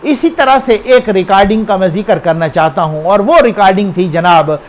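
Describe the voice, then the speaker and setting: High-pitched Pakistani man, informal news commentary. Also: brisk. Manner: conversational, addresses the viewer with rhetorical questions and check-ins.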